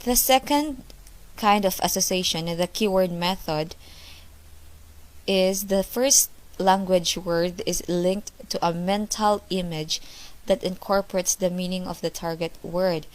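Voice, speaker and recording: A young woman presents a class report in a fairly low voice. She explains each point step by step and restates it with simple examples. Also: steady tone, unhurried, rough recording. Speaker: student presenter.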